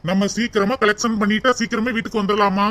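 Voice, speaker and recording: A man plays an easygoing grown son. He talks gently and respectfully with a Tamil Nadu accent, in the manner of a family comedy skit. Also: high voice, little pitch movement, fast, very noisy, natural voice. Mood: dutiful, reassuring. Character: dutiful, easygoing grown son leaving for work.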